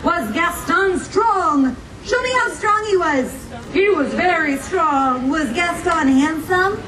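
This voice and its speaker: A woman's voice: very high-pitched, lively intonation, slow pace, exaggerated voice. A woman playing a sweet, gracious young storybook princess, telling a story to children live in a theatrical, encouraging way and asking them questions. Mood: playful, encouraging. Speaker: theme park storytelling performer. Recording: distant, echoey, noisy amateur recording among crowd. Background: audience crowd noise.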